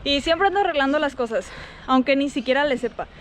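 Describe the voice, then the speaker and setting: A woman's voice: sits high, lively intonation, fairly quick, a little hiss or hum. A young woman with a Mexican accent, telling stories from her week in a chatty, colloquial vlog style. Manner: chatty, colloquial, acts out reported dialogue. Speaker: vlogger. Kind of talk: casual vlog storytelling.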